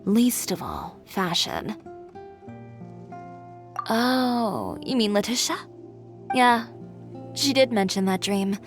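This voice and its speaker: Assertive young female designer for an anime game: proud, composed, dryly blunt, mid-pitched, music underneath. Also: sing-song, slow pace, pauses often. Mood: reflective.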